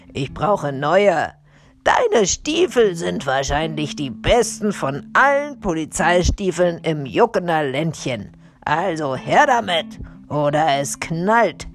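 A woman voicing a gruff, brazen, unruffled forest robber for a children's audiobook, with a taunting, commanding, drawn-out delivery. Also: low-pitched, sing-song, heavily acted. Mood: cool, demanding. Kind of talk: children's audiobook character reading.

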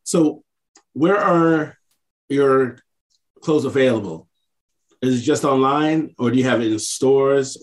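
A man co-hosting a casual interview, phrasing his questions in a deliberate, halting way. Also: medium pitch, poor audio. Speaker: interviewer, co-host.

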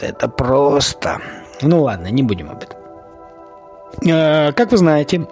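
A man hosting a podcast, talking in an informal, opinionated monologue with rhetorical questions and halting, colloquial phrasing that repeats words. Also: medium pitch. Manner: argumentative, poses rhetorical questions. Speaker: podcast host.

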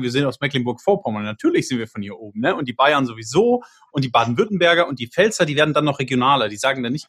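A man with a slightly high, husky voice hosts a relaxed podcast conversation. He talks in a thinking-aloud way, restarting sentences and tacking on little questions to check in with his co-hosts. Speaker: podcast host.

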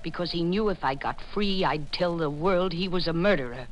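An actress playing a bitter, long-imprisoned woman, speaking gravely and dramatically in a 1940s radio thriller. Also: fairly low pitch, normal speed, close to an everyday voice. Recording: noisy 1940s radio recording.

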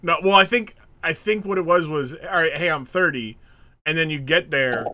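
Bright tense voiced guy on a podcast, kinda high, acts out everyone, dry mic. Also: moderate pace, no echo. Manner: animated, acts out quoted voices, laughs often. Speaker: podcast co-host. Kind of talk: casual chat.

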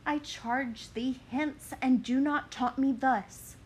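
Curt dismissive young man, as a woman reads him, slow clipped verse. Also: sits high, steady tone, a little hiss or hum, poor audio. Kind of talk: dramatic reading of verse.

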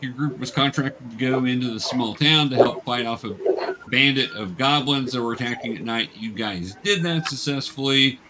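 A man runs a tabletop game in a casual, instructive manner. He narrates scenes and patiently guides new players with encouragement. The recording carries light background noise. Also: mid-range voice. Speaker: game master teaching young players.

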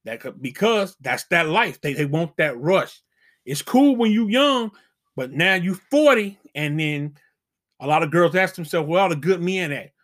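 A man's voice: on the high side, lively intonation, measured pace, a bit bright. A middle-aged man hosting a channel, giving casual dating advice as an emphatic, preachy warning full of slang.

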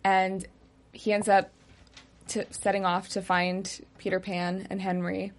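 A young woman hosts a relaxed recap chat. She talks through the episode's events with hesitant fillers and laughs readily. Her voice sits at an ordinary middle pitch. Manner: recaps plot with frequent "um" pauses, laughs easily. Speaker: host.

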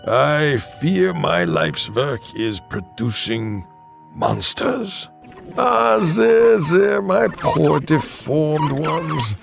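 An actor playing a tormented, sorrowful mad scientist in a campy horror audio drama, halting and melodramatic. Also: male voice, a little high, creaky, exaggerated voice.

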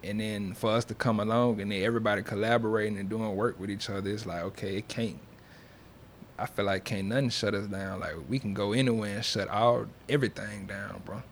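A man's voice: lowish voice, fairly even intonation, fairly quick, slight background noise. A young man talking as a music artist being interviewed, in a loose, slangy, casual way. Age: young adult. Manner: slangy, loose, peppered with check-in phrases.

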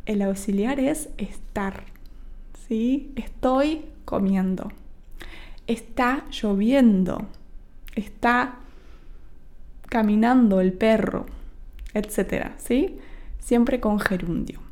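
A woman's voice: on the high side, dark tone. A young woman from Argentina with an Argentine accent, teaching a podcast Spanish lesson and checking in with "¿sí?" after each point. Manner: explains slowly, with frequent checking "¿sí?" and "¿ok?".

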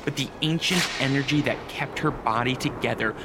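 A man's voice: medium pitch, brisk, over loud music, close to an everyday voice. A man playing a grave, suspenseful narrator in a scripted radio drama, precise and solemn.

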